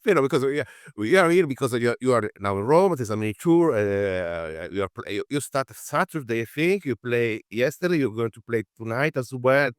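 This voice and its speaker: A man hosting a radio interview, asking questions haltingly with many restarts and non-native phrasing. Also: mid-range voice, animated, smooth, no room sound, studio quality.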